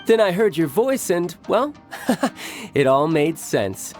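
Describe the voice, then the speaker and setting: A man playing a suave, teasing young fighter in a video game, smooth and playful, with music behind. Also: high-pitched, sing-song, slow, heavily acted. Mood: amused, teasing. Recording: loud music under the voice.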